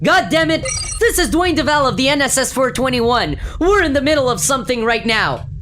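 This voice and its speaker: A woman's voice: high-pitched, lively intonation, fairly quick. A woman playing a blunt, urgent male spaceship captain in an amateur sci-fi comedy radio play. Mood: exasperated. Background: music.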